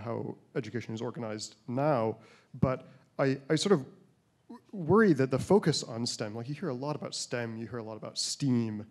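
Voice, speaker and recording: A man's voice: medium pitch, darkish tone. A man in the audience of a large lecture hall making a comment, hesitant with many fillers. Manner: hesitant, many ums and sort ofs. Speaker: audience member asking a question.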